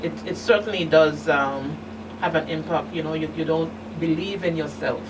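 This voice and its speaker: Deep female voice, middle-aged, candid, halting then emphatic, recorded at a distance with clear background hum. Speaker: guest, survivor and advocate. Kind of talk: personal testimony in a talk show interview. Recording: distant mic, noisy room hum.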